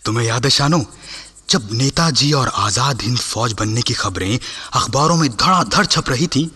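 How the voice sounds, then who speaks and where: An actor playing an imprisoned freedom-army soldier, a devoted husband and teasing comrade, in a radio drama. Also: male voice, medium pitch, lively intonation, normal speed, sharp tone, slightly rough, in a large hall. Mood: teasing, fond.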